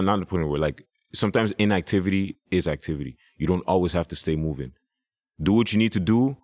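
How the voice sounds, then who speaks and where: A man's voice: low voice, darkish tone, no echo, clean studio sound. A man, a hip hop artist, talking casually as a podcast guest, rambling with restarts and "you know".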